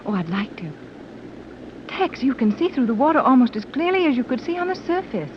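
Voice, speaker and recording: A woman's voice: high-pitched, big pitch swings, fairly quick. An actress playing a thoughtful, fearless woman explorer, articulate and questioning, in 1930s radio serial style. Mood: curious, amazed. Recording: dull, noisy old 1930s radio recording.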